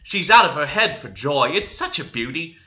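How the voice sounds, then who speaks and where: Man plays young servant girl, storybook gossip, rapturous and animated, sharp bright tone. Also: a little high, normal speed, lo-fi sound, full character voice. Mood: rapturous, excited. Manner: rapturous, breathless chatter.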